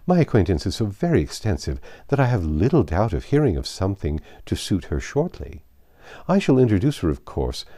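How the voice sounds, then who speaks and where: Man voicing a pompous, gushing society lady, swooping pitch. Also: mellow. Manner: effusive, condescending, emphatic. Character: pompous, gushing, self-important married society woman. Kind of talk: audiobook character reading.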